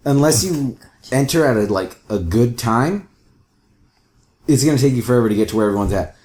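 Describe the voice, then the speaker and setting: A man's voice: mid-range voice, expressive, brisk, noisy background. A man explaining video game matters at length in a casual, matter-of-fact discussion. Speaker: co-host.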